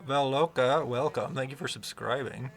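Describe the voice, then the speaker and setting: A man with a fairly low voice, chatting casually on a livestream and rambling with many fillers and restarts. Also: normal speed. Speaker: streamer talking about microphones.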